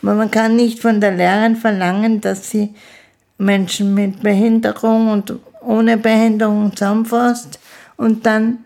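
A woman, an interview guest who speaks slowly and haltingly, often restarting her sentences. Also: medium pitch, level tone, creaky, darkish tone. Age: 50s. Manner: slow, halting speech with restarts. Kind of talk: casual conversation.

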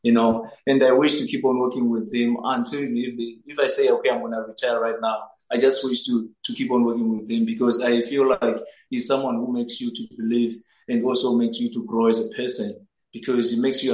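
An earnest young goalkeeper originally from Zimbabwe, answering questions in a flat, even voice that barely rises or falls. He stumbles and repeats words as he gathers his thoughts. The sound carries a noticeable room echo. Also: mid-range voice, brisk. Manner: earnest, repeats words while searching for them. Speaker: footballer, goalkeeper. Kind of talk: interview answers over a remote link. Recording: echoey room.

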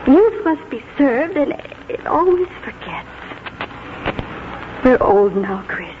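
An actress playing a weary, sentimental seventy-five-year-old schoolteacher in 1940s radio drama style. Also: female voice, sits high, big pitch swings, takes it slowly, background noise, heavily acted. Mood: weary, resigned. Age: older. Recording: muffled old radio broadcast, music behind.

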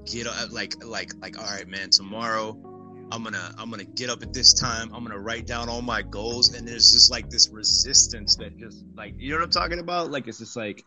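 A man in casual podcast chat, talking in an animated, rambling way and calling his friend "bro". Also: medium pitch, a little fast, soft background music. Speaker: podcast co-host.

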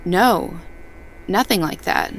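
A woman's voice: on the low side, huge pitch swings, slow pace, creaky, a little hiss or hum, exaggerated voice, poor audio. An actress playing a skeptical female companion in an audio drama, wry and questioning in natural dialogue. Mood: doubtful.

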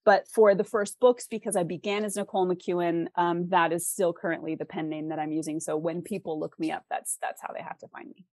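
A woman's voice: fairly low pitch, level tone, fairly quick, hardly any pauses, a little mellow, no echo. Woman interview guest, close dry sound. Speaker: podcast guest, fantasy author. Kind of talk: casual interview talk.

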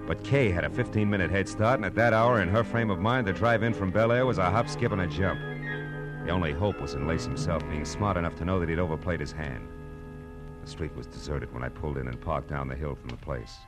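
Actor as very deep-voiced hard-boiled private eye. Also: male voice, fairly quick, close to an everyday voice. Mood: tense, brisk narrating. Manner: wry, clipped, slangy. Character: hard-boiled wisecracking male private detective. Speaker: lead actor and narrator. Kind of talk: 1940s radio detective acting and narration. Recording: old radio drama with music behind.

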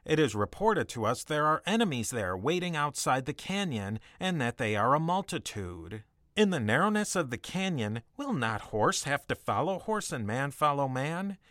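A man's voice: medium pitch, sing-song, moderate pace, a bit bright, dry recording, studio quality. An actor playing an anxious Egyptian general in a dramatic reading, pleading formally with his king. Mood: worried pleading. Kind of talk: dramatic reading of an ancient account.

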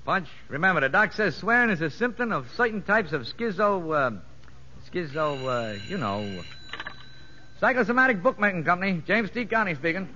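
A wisecracking American convict bookie, with a high, bright, pushy voice. He needles in slangy, smart-alecky phrases. It comes through a muffled, hissy vintage radio broadcast. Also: expressive, normal speed. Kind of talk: 1950s radio drama acting.

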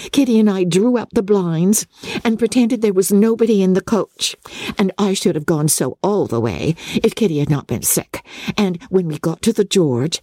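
A woman's voice: on the low side, dark tone, no echo, clean studio sound, voice pushed up. A woman voicing a giddy, boastful teenage girl from a period novel, gushing and exclaiming in a dramatic audiobook reading. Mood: loud, merry.

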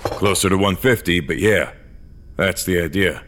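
An actor playing a weary, guilt-ridden, very old augmented great-grandfather in an audio drama, with a hesitant, low-key manner. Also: normal speed, fairly bright tone, slight background noise. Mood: awkward, explaining. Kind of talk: audio fiction acting with first-person narration.

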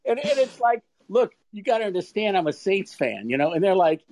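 Very lively, swooping man telling a story. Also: sits high, fairly quick, no echo. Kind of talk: casual anecdote telling.

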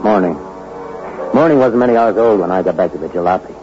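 A man's voice: sits low, fast, close to an everyday voice. A man playing a tough, bitter small-time hoodlum in a 1960s radio crime drama, with slangy, hard-bitten delivery. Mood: hard, brooding. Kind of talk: 1960s radio crime drama acting with first-person narration. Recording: dull old radio recording with music behind.

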